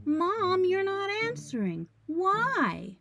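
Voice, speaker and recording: A high, excitable young child, acted out by a woman in a storybook, bold and theatrical about every pretend adventure. The words come out as big exclamations, with music playing underneath. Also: drawn-out words, creaky, exaggerated voice. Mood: worried, pleading. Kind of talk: children's storybook character acting.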